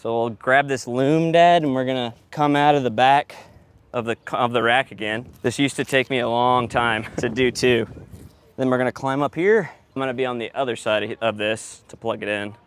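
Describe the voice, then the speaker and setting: A man's voice: medium pitch, steady tone, normal speed. A man talking casually and teasingly as he explains the work he is doing on location. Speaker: sound engineer and host. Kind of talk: casual chat while working. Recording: clear background noise, on-location audio.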